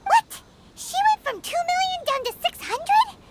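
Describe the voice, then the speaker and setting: A woman voicing a tiny, excitable, blunt fairy companion in a video game, with a squeaky childlike voice. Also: measured pace, exaggerated voice. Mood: indignant. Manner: exclamatory, refers to self in third person. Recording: loud music behind the voice.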